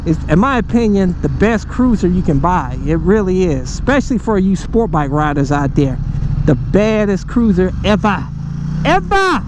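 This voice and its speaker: Man, high voice, excitable motovlogger, slangy, helmet mic, loud wind and engine noise. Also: moderate pace, lo-fi sound. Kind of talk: casual motovlog chat while riding. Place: outdoors on a motorcycle on the road. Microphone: helmet microphone.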